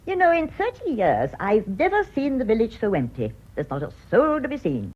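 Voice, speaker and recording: An actress playing a sharp, wry, no-nonsense older village woman in a radio drama. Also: a little high, huge pitch swings, fairly quick. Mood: knowing. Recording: dull lo-fi radio recording.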